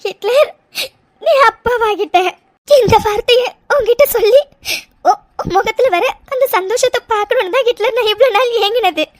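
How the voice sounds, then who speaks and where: Sweet, emotional young wife, acted by a woman: tiny high-pitched voice, halting plaintive phrasing, dry audio-drama sound. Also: a little mellow, no echo. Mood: crying with joy. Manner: halting, repeating words, plaintive. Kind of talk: emotional serial-style audio drama acting.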